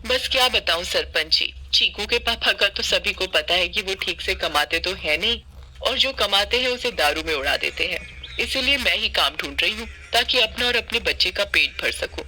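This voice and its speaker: A woman's voice: mid-range voice, lively intonation, moderate pace, bright, forceful tone. A woman voicing a poor, long-suffering young wife and mother in a cartoon, earnest and pleading. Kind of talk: Hindi cartoon voice acting.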